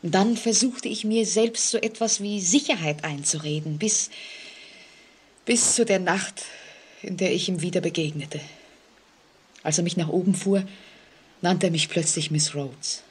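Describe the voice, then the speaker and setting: An actress playing an anxious, distrustful woman in an old German radio play, speaking haltingly with suspenseful drama. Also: lowish voice, breathy edge. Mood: weary dread. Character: frightened, haunted woman living alone, anxious and distrustful. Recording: vintage 1940s radio recording.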